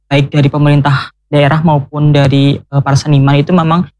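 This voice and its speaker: A man's voice: fairly high pitch, level tone, a little fast, a little mellow, dry recording. A man from the regional culture office, answering in a formal, hesitant way with frequent fillers. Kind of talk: interview answer. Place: radio studio.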